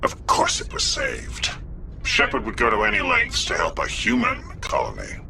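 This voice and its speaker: A man's voice: lowish voice, huge pitch swings, moderate pace, vocal fry, full character voice. A man playing a scornful, sarcastic alien councilor in a video game, over background noise. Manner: sneering, sarcastic. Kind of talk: video game dialogue acting.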